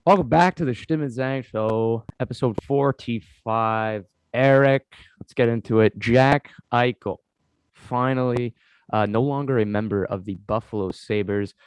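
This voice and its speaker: A man's voice: medium pitch, measured pace, clean tone, no room sound. A man hosting a casual sports podcast, congested from a cold, rambling with lots of fillers as he talks to his co-host. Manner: rambling, many "uh" fillers, keeps addressing co-host.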